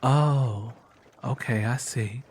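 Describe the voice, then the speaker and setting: A man voicing a gentle, earnest, faithful young woman with a Southern American accent in a dramatic audiobook reading. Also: mid-range voice, slow pace, slightly rough. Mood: curious.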